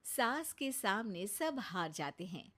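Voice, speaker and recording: A woman's voice: medium pitch, sing-song, slow pace, fairly bright tone. A woman narrator reading a scripted cartoon moral story.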